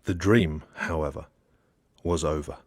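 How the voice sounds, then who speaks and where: A man reading a satirical novel aloud as a narrator, with dramatic, expressive storytelling. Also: a bass voice, a little slow, no echo, studio quality. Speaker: audiobook narrator.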